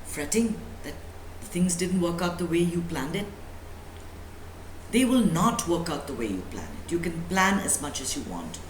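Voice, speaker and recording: A woman's voice: lowish voice, animated, normal speed. A woman speaking as a spiritual teacher, giving an emphatic motivational talk full of rhetorical questions and repetition. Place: indoor room at a live talk. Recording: echoey room with faint background noise.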